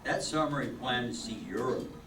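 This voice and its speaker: Older man as animated, echoey senior angel. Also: medium pitch, normal speed, lightly acted. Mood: storytelling. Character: kindly senior male angel, storytelling and in charge. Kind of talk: old-time radio play acting, narrating. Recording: echoey, distant, noisy stage recording.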